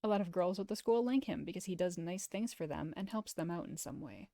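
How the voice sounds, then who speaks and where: A woman streamer reading a visual novel aloud as expressive first-person story narration. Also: lowish voice, a little fast, vocal fry, no echo, clean studio sound.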